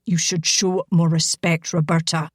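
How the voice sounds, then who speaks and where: A woman voicing a stern, paternal older man who gently chides, in an audiobook. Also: fairly low pitch, little pitch movement, unhurried, slightly rough, no room sound, studio quality, pitched below the performer's own voice. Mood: chiding, indulgent. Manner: firm, chiding. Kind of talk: audiobook character acting.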